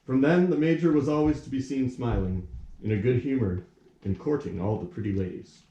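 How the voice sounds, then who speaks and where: A man narrating a puppet play as scripted storybook narration, in a formal, measured storytelling manner. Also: mid-range voice, warm tone, muffled recording, slight background noise. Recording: echoey room.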